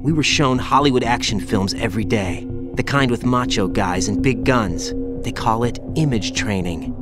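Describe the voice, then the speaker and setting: A man's voice: mid-range voice, moderate pace, natural voice. A man playing a brooding young soldier. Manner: quiet, brooding and guarded. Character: young male soldier haunted by a child-soldier past. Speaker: game character. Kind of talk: video game cutscene dialogue. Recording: game audio with music under it.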